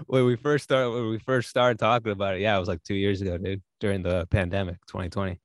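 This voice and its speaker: A man's voice: on the low side, level tone, quick pace, no room sound. A man in his late twenties co-hosting a podcast, chatting in an easygoing, joking way and laughing often. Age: 20s. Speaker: podcast co-host. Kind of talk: casual chat.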